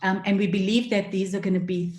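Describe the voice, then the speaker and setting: Earnest woman forum speaker, mellow tone, fillers, echoey room. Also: mid-range voice, level tone, fast talker. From South Africa. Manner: earnest, many fillers, emotional at times. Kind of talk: passionate talk. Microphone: video call.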